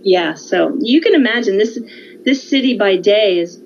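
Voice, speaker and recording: A woman's voice: medium pitch, animated, creaky, slight background noise. Woman on dull lo-fi audio. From California, United States. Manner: measured, informative, with frequent um and uh pauses. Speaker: guest. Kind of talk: conversational interview.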